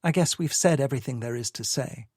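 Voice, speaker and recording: A man's voice: medium pitch, fast talker, a little mellow, no room sound, clean studio sound, lightly acted. A man voicing a cold, cutting woman. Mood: cool, cutting. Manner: cool, cutting, dismissive. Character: cold, evasive woman in her thirties. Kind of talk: audiobook character reading.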